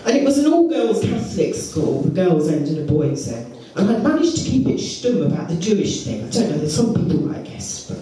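A woman's voice: low-pitched, fairly quick, few pauses. A middle-aged woman doing a stand-up comedy set, telling stories and acting out other people's voices. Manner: animated storytelling with acted-out voices. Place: comedy club stage. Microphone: handheld microphone. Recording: echoey club, from far off, crowd. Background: audience laughter.

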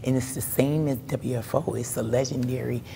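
A woman's voice: a bass voice, fairly even intonation, normal speed, creaky. A middle-aged woman who owns a radio station, answering interview questions in an upbeat, proud way. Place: indoor exhibit hall. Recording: hall reverb, faint noise.

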